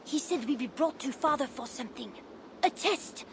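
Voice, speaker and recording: A woman's voice: high-pitched, expressive, normal speed, a bit bright, a little hiss or hum. A woman voicing an earnest, frightened young boy prince in a video game.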